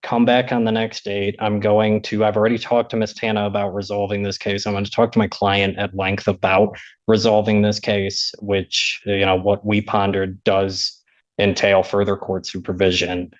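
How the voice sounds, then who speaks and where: A man speaking as a defense attorney, making a measured, formal argument in court with hesitant phrasing. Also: on the low side, flat delivery, lo-fi sound. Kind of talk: courtroom argument at a bond hearing.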